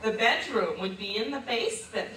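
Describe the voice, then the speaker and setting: An actress playing a reticent, embarrassed old lady reminiscing about her youth in a theatrical stage monologue, hesitant and confiding with dramatic pauses. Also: a little high, expressive, close to an everyday voice. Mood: flustered, reluctant. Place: live stage performance in a hall. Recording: distant mic in echoey room, noisy.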